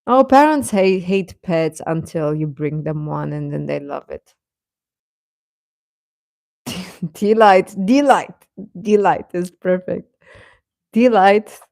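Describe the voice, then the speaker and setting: Female livestream host: casual, playful, unhurried delivery, mid pitch, dry sound. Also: lively intonation, gravelly, darkish tone, no echo. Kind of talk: casual chat with live viewers.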